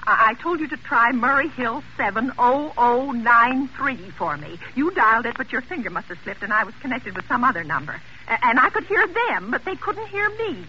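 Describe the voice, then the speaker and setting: A woman's voice: on the high side, expressive, moderate pace, few pauses, sharp tone. An actress playing a frantic, self-important married woman in an old radio drama, stammering and indignant as she talks haughtily on the telephone. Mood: impatient, indignant. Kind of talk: golden age radio drama acting. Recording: vintage radio broadcast, muffled, hissy.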